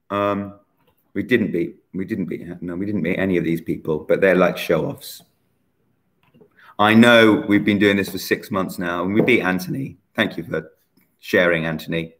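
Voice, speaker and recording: A man in his forties hosts a relaxed online quiz, ribbing the people he names and laughing at himself. His voice sits fairly low. It is picked up by a laptop webcam in a bright room at home. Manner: chatty, teasing, self-mocking. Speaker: quiz host. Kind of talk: casual quiz hosting.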